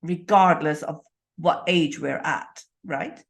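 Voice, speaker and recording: A woman in her late fifties hosting a podcast, talking in an upbeat, emphatic and animated way with her guest. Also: sits low, takes it slowly. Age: late 50s. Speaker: podcast host. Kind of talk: interview conversation.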